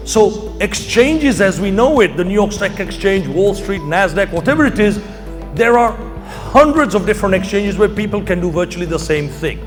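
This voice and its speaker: Higher-voiced middle-aged man in a reverberant hall. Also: animated, normal speed. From Kenya. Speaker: company founder and keynote speaker. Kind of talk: informal conference pitch to an audience. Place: large hall, speaking to an audience. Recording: long hall reverb, music behind. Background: music.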